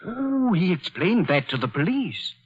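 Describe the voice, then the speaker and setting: Genial chatty man, lively, raspy, vintage radio. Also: high voice, a little slow, heavily acted. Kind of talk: 1940s radio acting. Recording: dull old radio broadcast.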